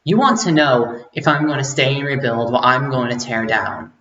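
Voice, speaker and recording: An actor playing a bitter, vengeful rebel who addresses a powerful leader in a theatrical, deliberate dramatic monologue. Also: medium pitch, a bit bright, close to an everyday voice. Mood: scornful, accusing. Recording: muffled, echoey room recording.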